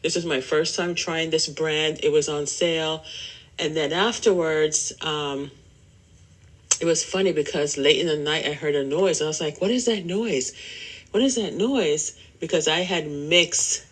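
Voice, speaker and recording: A woman's voice: deep voice, a little slow. A woman hosting a casual solo podcast, chatting in a rambling way and speaking straight to her listeners. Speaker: podcast host.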